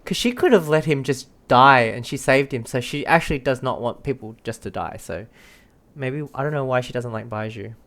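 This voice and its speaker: A woman's voice: rumbling low, expressive, moderate pace, fairly bright tone. A woman streaming a game, giving casual commentary and musing aloud informally. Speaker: game streamer reacting to a story quest.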